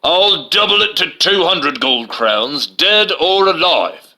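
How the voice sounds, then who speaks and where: A man's voice: fairly high pitch, expressive, slow, sharp tone, pitched above the performer's own voice, full character voice. Man acting a brash, boastful wheeler dealer. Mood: shouting, competitive. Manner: boastful, emphatic. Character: male New York land speculator, brash sly wheeler dealer. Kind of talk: dramatic storybook reading. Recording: music under the voice.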